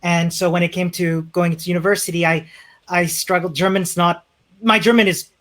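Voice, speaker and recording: A man's voice: high voice, fairly even intonation, moderate pace, a bit bright. A man from Chile with a Chilean accent, a developer guest talking through his career in a casual streamed interview, self-deprecating and full of restarts.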